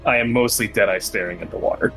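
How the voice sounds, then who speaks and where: A man talks casually as a player in a tabletop game. His voice sits a little low, and there is soft music in the background. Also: fast, rough recording.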